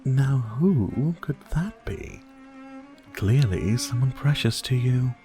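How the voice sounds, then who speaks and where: A man's voice: medium pitch, animated, takes it slowly, mellow, faint music behind. A man playing a sadistic, courtly vampire lord in an ASMR roleplay, speaking in a silky, formal, teasing and theatrical manner. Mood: taunting, knowing. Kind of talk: ASMR roleplay, dramatic monologue to listener.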